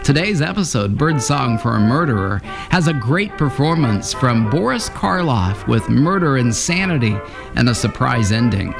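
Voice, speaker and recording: A man's voice: mid-range voice, very animated, normal speed, vocal fry, music in the background. A man reading scripted narration as an announcer.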